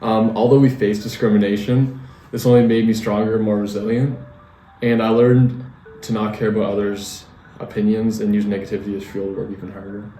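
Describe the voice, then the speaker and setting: A young man playing a lanky 1920 hockey winger, hesitantly reading scripted interview answers in a flat delivery. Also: fairly low pitch, a little fast, close to an everyday voice. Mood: matter-of-fact. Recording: echoey room, distant mic, faint music.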